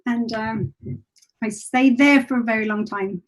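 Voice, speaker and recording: A woman's voice: fairly high pitch, fairly even intonation, measured pace, poor audio. A woman from Finland with a Finnish accent, casually recalling her own path as an interview guest in a reflective, hesitant way. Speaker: interview guest, animator and illustrator. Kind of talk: casual personal recollection.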